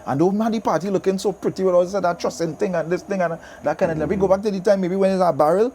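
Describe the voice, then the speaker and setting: A man's voice: high voice, fast, noisy background. A middle-aged man with a Trinidadian accent, a soca artist chatting casually in an interview, telling stories with repeated phrases.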